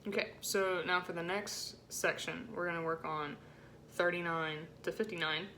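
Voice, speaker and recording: A woman with a low-set voice giving an informal music rehearsal lesson as a choir section leader, in a casual, encouraging way with hesitant phrasing. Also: little pitch movement, moderate pace, pushed and bright, slight background noise. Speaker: choir section leader teaching alto parts.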